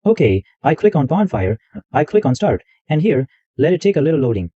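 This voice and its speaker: A man hosting a screen-recorded software tutorial, narrating every click step by step in loose, repetitive wording. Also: on the high side, very animated, brisk, no room sound. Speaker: tutorial host. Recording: dull lo-fi dry mic sound.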